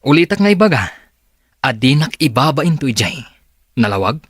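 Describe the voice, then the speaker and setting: A man's voice: medium pitch, very animated, normal speed, breathy edge, no room sound, clean studio sound. A man playing a demanding young boss who gives curt orders in a radio drama. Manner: curt and commanding.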